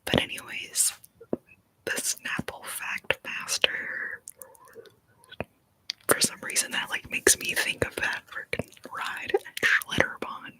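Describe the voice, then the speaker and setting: Slow playful whisperer from Texas. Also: bright, forceful tone, rough recording. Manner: slow, playful, crisp whispered tongue twisters. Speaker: ASMR creator. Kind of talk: whispered ASMR.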